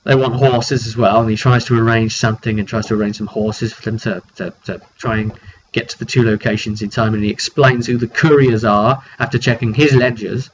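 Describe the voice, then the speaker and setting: A man's voice: fairly low pitch, steady tone, brisk. Man recapping a game, dull lo-fi sound. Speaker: game master recapping a tabletop roleplay session. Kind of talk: casual spoken storytelling. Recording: dull lo-fi mic with background noise.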